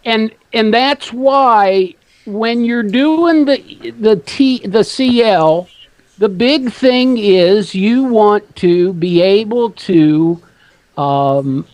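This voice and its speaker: A man hosting a trading talk show, talking slowly and haltingly as he works out price numbers. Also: high voice, no room sound, low-quality recording. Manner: slow, halting, counting out numbers. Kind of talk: casual talk radio.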